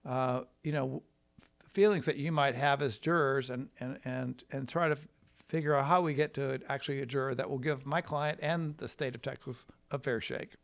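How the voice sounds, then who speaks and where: An American man from Wisconsin, a trial lawyer telling his story in a casual, rambling, folksy and halting way. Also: medium pitch, fairly even intonation, gravelly. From Wisconsin, United States.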